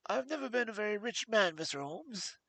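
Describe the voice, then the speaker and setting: A frightened, jittery fat old man, put on by a male reader, stammering in a pitched-up, breaking voice that leaps about as it goes. The sound is husky and close, with no room around it. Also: animated, a little fast, pushed and bright, dry recording, full character voice. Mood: nervous. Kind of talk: audiobook character voice.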